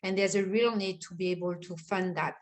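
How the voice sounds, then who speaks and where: A woman's voice: fairly low pitch, fairly even intonation, a little fast. A woman moderating a panel discussion, speaking unscripted and stressing points through repetition.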